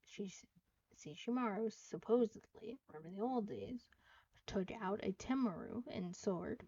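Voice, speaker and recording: Husky woman reading game dialogue on stream. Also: mid-range voice, mellow, highs cut off. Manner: stumbles over words while reading.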